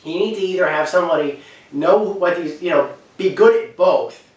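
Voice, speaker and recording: A man's voice: high-pitched, normal speed. A man giving an unscripted, rambling opinion rant, repeating his points for emphasis. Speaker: online video commentator. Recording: echoey room, distant from the mic.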